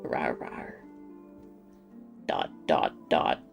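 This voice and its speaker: A woman's voice: very slow, whispered, music in the background. A woman gaming streamer giving playful, effusive commentary and reading game text aloud. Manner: effusive, playful, cooing over characters.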